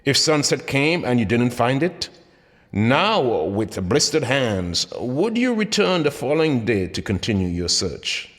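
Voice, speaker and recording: A man's voice: mid-range voice, very animated, unhurried. A man reading his own motivational book aloud as scripted narration, with dramatic, emphatic delivery. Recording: long hall-like reverb.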